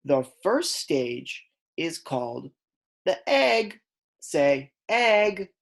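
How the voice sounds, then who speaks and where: A woman reading aloud as a kindergarten teacher, in a deliberate, instructional way that stresses key words. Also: sits low, big pitch swings, vocal fry. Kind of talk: read-aloud lesson for young children.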